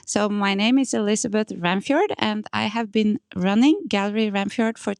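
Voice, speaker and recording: Gravelly-voiced woman hosting a talk. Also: medium pitch, fairly even intonation, normal speed, background noise. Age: 40s. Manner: hesitant, many fillers and restarts, laughs easily. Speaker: gallery owner and host. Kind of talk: casual panel talk.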